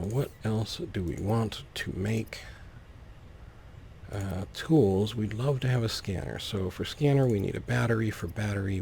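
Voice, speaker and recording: A man's voice: fairly low pitch, creaky, a little mellow, a little hiss or hum. A man giving casual, easygoing gameplay commentary, talking through his actions step by step. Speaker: let's play host.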